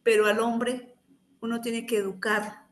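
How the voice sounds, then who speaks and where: A woman's voice: a little high, flat delivery, moderate pace. A woman researcher speaking earnestly and explaining her points in a formal discussion.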